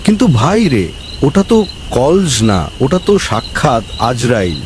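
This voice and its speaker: A man's voice: medium pitch, sing-song, moderate pace, noisy background, close to an everyday voice. A man voicing a greedy, cowardly village councilman in a comic cartoon, with a melodramatic, exclamatory delivery. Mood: remorseful. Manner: pompous, exclamatory, pleading when frightened. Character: greedy, bossy village council member, cowardly when threatened.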